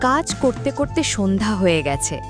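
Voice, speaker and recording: Woman narrating a story over loud music. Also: mid-range voice, big pitch swings, brisk. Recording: loud music, rain and thunder behind.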